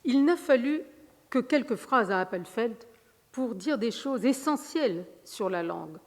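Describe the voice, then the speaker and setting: A middle-aged woman giving an academic lecture, reading from notes in a measured, slightly hesitant way. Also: on the high side, animated. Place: large lecture hall. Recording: reverberant lecture hall.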